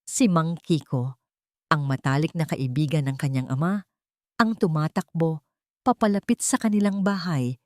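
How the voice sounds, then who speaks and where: A woman's voice: low-pitched, moderate pace, no room sound, studio quality. A woman narrating an audiobook, reading a scripted love story in a dramatic storytelling style.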